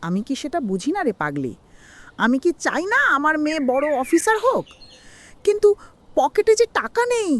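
Worried middle-aged cartoon mother, played by a woman, high-pitched and emotional. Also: wildly swooping pitch, normal speed. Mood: anxious, sorrowful. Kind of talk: cartoon drama dialogue.

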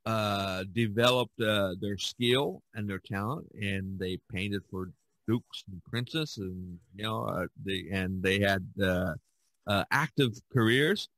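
Low-voiced older man, halting and conversational. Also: fairly bright tone, no room sound, poor audio. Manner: halting, repeats phrases. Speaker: podcast host. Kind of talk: casual conversation.

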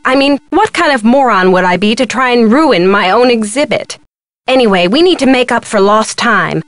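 An actress playing a self-important museum curator in a video game, with a brisk, sardonic, no-nonsense manner. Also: female voice, fairly high pitch, a bit bright, no room sound. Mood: exasperated, sardonic, then brisk, bossy.

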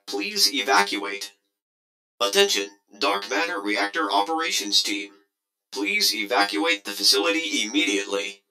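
Man voicing a sharp, forceful emergency announcement system. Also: lowish voice, full character voice, rough recording. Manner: formal, emphatic announcing. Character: automated facility emergency announcement system, urgent and commanding.

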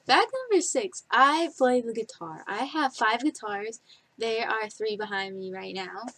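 A woman's voice: a little high, animated, a little slow, fairly bright tone. Chatty young woman rambling into a webcam. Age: 20s. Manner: chatty, giggly, rambling with many fillers. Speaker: vlogger. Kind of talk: casual facts-about-me vlog. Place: bedroom, indoors. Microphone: webcam at desk distance.

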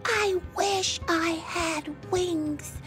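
A woman voicing a small, wistful boy caterpillar in a storybook, in a slow, wishful recitation. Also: very high voice, a bit bright, faint music behind, pitched above the performer's own voice, full character voice. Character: small, wistful male caterpillar dreaming of flight.

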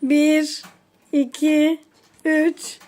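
A woman's voice: sits high, level tone, drawn-out words, a little mellow. A woman playing a casual family game as a mother, counting and coaxing in a playful, encouraging way. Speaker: mother leading a children's game.

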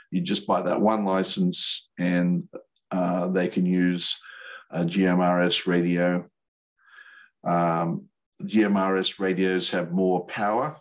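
An older man giving an explanatory presentation over a video call in a plain-spoken, hesitant way. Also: low-pitched. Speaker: presenter, licensed radio operator. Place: remote video call webinar.

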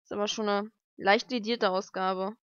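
A woman's voice: medium pitch, steady tone, moderate pace, a bit bright, clean tone, no room sound. A young woman chatting casually and thinking aloud as she gives informal unboxing commentary at home. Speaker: vlogger unboxing mail-order books. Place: lived-in room at home, indoors. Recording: dull lo-fi home recording.

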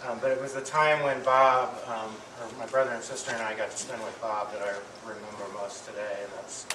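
Middle-aged man, affectionate remembrance speech, halting delivery, distant mic, clear background noise. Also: mid-range voice, fairly even intonation, reverberant. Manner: halting, with pauses and repeated words. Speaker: family speaker giving a eulogy. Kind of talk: eulogy, affectionate personal remembrance to an audience. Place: medium-sized indoor hall with audience.

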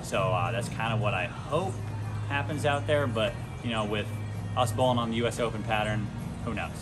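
A young man in his twenties, a bowling channel host, talking casually to camera with a relaxed, chatty manner in a big indoor bowling center. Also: on the low side, moderate pace, loud music behind the voice. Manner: relaxed, chatty, lots of fillers. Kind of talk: casual gear talk to camera.

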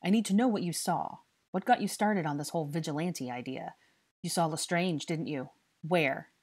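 A woman's voice: low voice, a little fast, a little mellow, slightly rough. A woman voicing a stern, furious young male investigator who scolds and interrogates with exasperated force in an audiobook story. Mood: bitter, demanding.

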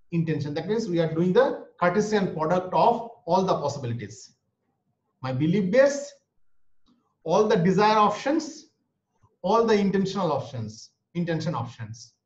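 A man's voice: sits high, very animated, unhurried. A man with an Indian English accent, a native Bengali speaker, giving an online lecture and explaining each point twice. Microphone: webcam or laptop mic on a video call. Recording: roomy, dull video-call audio.

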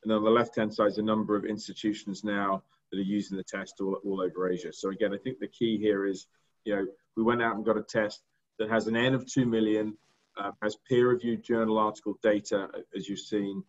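A middle-aged man with a non-American accent, presenting slides on a video call and speaking haltingly, with many fillers and repeated words. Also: lowish voice, little pitch movement. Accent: non-American English. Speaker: diagnostics company executive. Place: indoor room. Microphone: video call webcam. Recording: dull lo-fi video call audio.

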